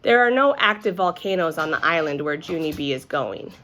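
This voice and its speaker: A woman's voice: low voice, animated, brisk, a bit bright, pitched below the performer's own voice. A woman voicing a patient, kindly male first-grade teacher in a storybook, explaining things in an encouraging way.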